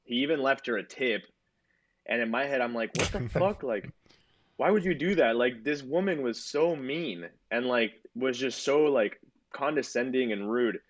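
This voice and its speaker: A man's voice: mid-range voice, normal speed. A man in his twenties co-hosting a podcast, telling a long story in casual chat with lots of swearing and filler words. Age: 20s. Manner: rambling, swears a lot, many fillers. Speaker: podcast co-host.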